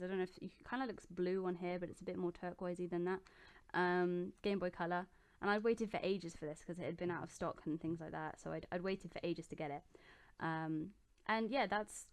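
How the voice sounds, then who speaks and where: A young woman in her bedroom, answering viewer questions in a relaxed, chatty way, with plenty of ums and restarts. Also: lowish voice. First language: English. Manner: chatty and relaxed, with many ums and self-corrections. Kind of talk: casual chat.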